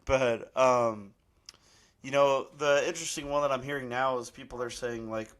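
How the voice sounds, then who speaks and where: A male podcast host with a mid-range pitch. He chats casually and agreeably, with an easygoing interview style. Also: normal speed, a bit bright. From Texas.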